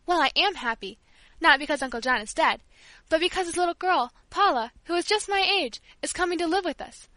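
A woman voicing an impulsive, excitable young girl in a storybook reading, talking eagerly and pleading. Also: sits high, fairly quick, no echo. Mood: excited. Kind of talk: audiobook character voice.

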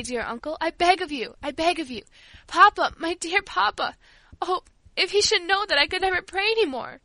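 A woman's voice: sits high, a bit bright, no room sound. A woman voicing a devout, earnest young country girl in an audiobook, polite, emotional and pleading. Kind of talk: audiobook character voice.

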